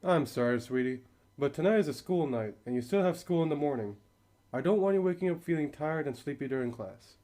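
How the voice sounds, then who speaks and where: A young man acting as a gentle, affectionate father in an amateur radio drama, measured and reassuring. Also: fairly high pitch, lively intonation, soft background music, lightly acted. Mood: gently firm. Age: young adult.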